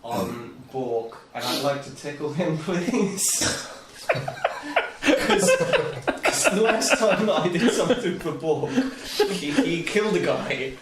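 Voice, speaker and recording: A man's voice: on the high side, animated, slow pace. A man playing a tabletop game, chatting playfully with a mischievous, chuckling manner. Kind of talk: casual playful game chat. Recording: distant, echoey room with clear noise.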